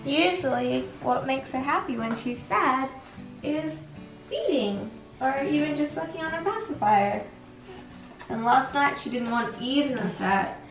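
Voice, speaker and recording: A young woman speaks softly and plainly about her baby, her high voice slightly gravelly. She sounds distant from the mic in an echoey room. Music plays clearly underneath. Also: lively intonation, unhurried. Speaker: mother. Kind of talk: casual family chat. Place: living room at home. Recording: roomy, from off-mic, music behind.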